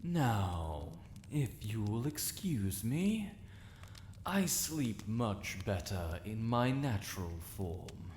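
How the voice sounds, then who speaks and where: A British man voicing an ancient dragon lord, regal, stern yet protective, with formal, measured diction in a fantasy audio roleplay. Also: lowish voice, very animated, a little mellow, a little hiss or hum, full character voice. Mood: calm, courteous. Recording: echoey cavernous reverb.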